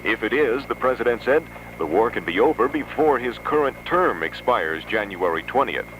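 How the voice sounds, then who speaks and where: A man reading a scripted 1970s radio news bulletin as anchor, in a formal, measured announcer delivery. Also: medium pitch, expressive, muffled recording. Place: radio studio. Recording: noisy old 1970s radio aircheck.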